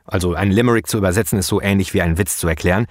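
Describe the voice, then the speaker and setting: A man hosting his own podcast, explaining in a chatty, relaxed way with frequent fillers. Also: deep voice, very fast, no echo, studio quality. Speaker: podcast host and poet.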